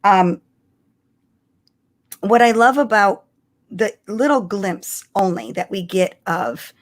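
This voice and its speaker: A woman co-hosting a casual fan discussion, telling things in an animated way and often checking in with the listener. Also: medium pitch, takes it slowly. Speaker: podcast co-host.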